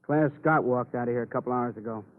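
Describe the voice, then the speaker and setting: An actor playing a dry, wry police detective in an old radio crime drama, clipped and matter-of-fact. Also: male voice, medium pitch, fast, narrow, tinny audio, natural voice. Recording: thin, band-limited old radio recording.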